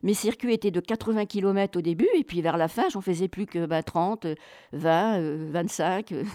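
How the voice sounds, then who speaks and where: Female interview guest, slightly low voice, dry close sound. Colloquial, expressive storyteller who acts out dialogue. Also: normal speed, no echo. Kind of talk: animated travel storytelling.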